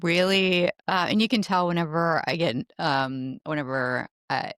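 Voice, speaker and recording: A woman with a naturally low voice hosting a casual podcast interview, with soft backchannels and trailing questions. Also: fairly even intonation, normal speed, clean tone, no room sound, clean studio sound. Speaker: podcast host.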